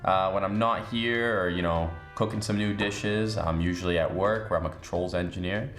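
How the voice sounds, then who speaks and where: A young man from southeastern Massachusetts, a guest chatting casually in a studio about food and snacks, relaxed and chatty with fillers. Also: low-pitched, moderate pace, roomy sound, faint music behind. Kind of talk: casual chat, snack tasting.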